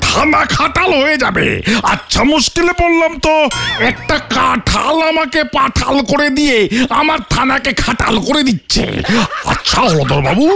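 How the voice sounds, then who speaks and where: A man's voice: extremely high pitch, big pitch swings, moderate pace, vocal fry, music in the background, heavily acted. A man voicing a flustered, pompous comic police inspector in a cartoon, exclaiming and spluttering. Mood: exasperated. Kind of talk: Bengali comedy cartoon acting.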